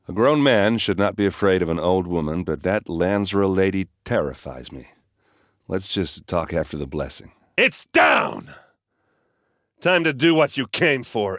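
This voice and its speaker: A man voicing a gruff, hard-drinking warrior captain in a video game, loyal and brash, with a blunt, wry, informal way of talking. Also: sits low, no room sound, studio quality, heavily acted. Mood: urgent, fired up. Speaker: game character voice actor. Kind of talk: video game dialogue and combat lines.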